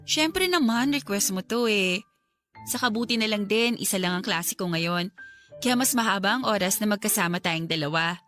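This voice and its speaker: A woman's voice: mid-range voice, expressive, moderate pace, a bit bright, lightly acted. A woman playing an anxious, devoted college-age girlfriend in a radio drama, pleading and hesitant. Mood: affectionate. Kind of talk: Tagalog radio drama acting. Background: background music.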